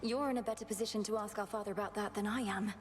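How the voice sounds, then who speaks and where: A woman playing a resolute young goddess princess in a video game, speaking in a formal, measured, determined way. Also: medium pitch, fairly even intonation, slight background noise, close to an everyday voice. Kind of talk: dramatic video game acting.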